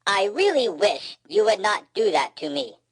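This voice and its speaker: A man's voice: high-pitched, very animated, moderate pace, breathy edge, no echo, pitched above the performer's own voice, full character voice. A man voicing a precise, exasperated know-it-all robot in a children's storybook, with a stiff synthetic tone and clipped, pedantic delivery.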